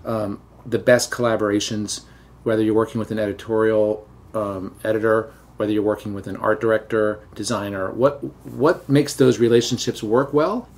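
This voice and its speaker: A man's voice: fairly low pitch, normal speed. Chatty American man hosting an interview. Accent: American Southern. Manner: relaxed, chatty, tells anecdotes. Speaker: podcast host and teacher. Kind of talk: casual conversational interview.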